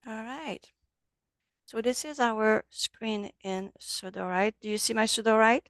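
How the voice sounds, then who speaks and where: Woman, French accent, gravelly, dry close sound, relaxed online class instructor. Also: on the high side, measured pace, no room sound. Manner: friendly, loose, switches between English and French. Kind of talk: casual online class walkthrough. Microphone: video call.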